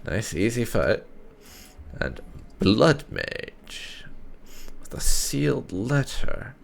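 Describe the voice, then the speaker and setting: A man's voice: mid-range voice, big pitch swings, very slow, creaky, a little hiss or hum. A man giving casual commentary on his roleplay playthrough of a video game. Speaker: gamer narrating a roleplay playthrough.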